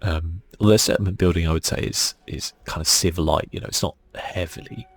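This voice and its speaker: A man giving soft-spoken ASMR commentary on a video game in a murmured, laid-back way, as if thinking aloud. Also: deep voice, fairly quick, creaky, slightly rough. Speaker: gaming channel host. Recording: faint music behind.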